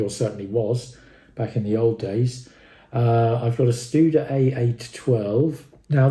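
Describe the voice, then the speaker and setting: A man's voice: medium pitch, mellow. A British man presenting an informal, unscripted equipment review, talking in a rambling, hesitant way as an enthusiast. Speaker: presenter of an audio enthusiast vlog. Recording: roomy, echoing sound.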